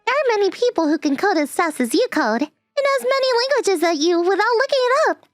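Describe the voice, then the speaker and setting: A bubbly young female coworker in an anime-style manga dub. She is cutesy and excitable, extremely high in pitch and very animated, recorded dry. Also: fairly quick, dry recording, soft background music. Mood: gushing.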